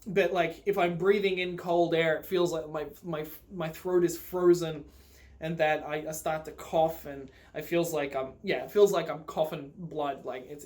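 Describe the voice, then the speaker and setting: Casting: Australian male vlogger, high voice, loose conversational storyteller with a sweary, rambling delivery, phone-recorded. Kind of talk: casual storytelling vlog.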